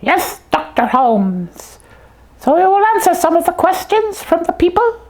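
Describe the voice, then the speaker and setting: A woman's voice: very high voice, big pitch swings, measured pace, a little mellow, heavily acted. A woman voicing a proud, fussy puppet detective in a comic puppet show, bossy and self-important.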